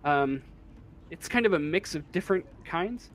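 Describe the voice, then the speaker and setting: A man working as game master, improvising narration for a tabletop role-playing game in a halting way, searching for words. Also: on the high side, lively intonation, fairly bright tone, a little hiss or hum.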